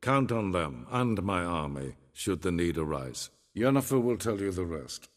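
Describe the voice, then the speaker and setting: An imperious game emperor with a low voice that swings from quiet menace to ringing command. He is curt, formal and cold, a middle-aged ruler used to being obeyed. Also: sing-song, normal speed. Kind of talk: dramatic video game voice acting.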